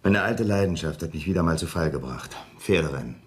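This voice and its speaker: An actor in a German radio drama playing a debt-ridden gambler, a fired employee with a rueful, coaxing, conversational manner. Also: male voice, deep voice, lively intonation, fairly quick. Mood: resigned, rueful.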